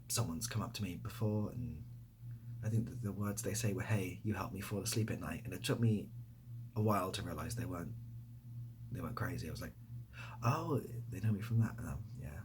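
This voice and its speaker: A young man with an English accent chatting softly in an ASMR style, hesitant and rambling, often dropping to a near-whisper. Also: fairly low pitch, background noise. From near Bath, England. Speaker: ASMR video creator. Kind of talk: softly spoken ASMR casual chat answering questions.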